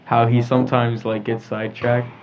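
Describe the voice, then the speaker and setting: A man's voice: medium pitch, level tone, moderate pace, a little hiss or hum. A teenage boy hosting a casual podcast chat, repeating himself as he steers the talk. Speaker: teen podcast host. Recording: dull lo-fi with loud music.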